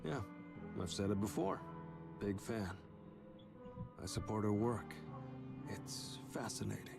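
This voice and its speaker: An unscrupulous, morbid morgue worker for a visual novel. The voice is on the low side, terse and deadpan. Music is clearly audible behind it. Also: male voice, measured pace. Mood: casual, morbid. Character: morbid, unscrupulous male morgue worker, laid-back admirer of killer.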